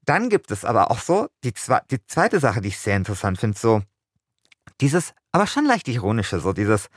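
A man's voice: mid-range voice, huge pitch swings, moderate pace, dry recording, clean studio sound. A man co-hosting a podcast, chatting casually and analytically in an enthusiastic, wordy way. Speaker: podcast co-host.

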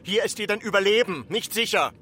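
Radio drama: village mayor, extremely high voice, imploring and insistent, over noticeable vehicle noise. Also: male voice, expressive, brisk, sharp tone. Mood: urgent, warning. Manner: insistent, incantatory repetition. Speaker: actor. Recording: clear background noise, vehicle sounds.

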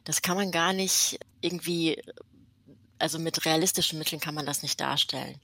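A woman's voice: low voice, moderate pace, gravelly, sharp tone. A woman author giving a reflective interview answer in searching, winding sentences.

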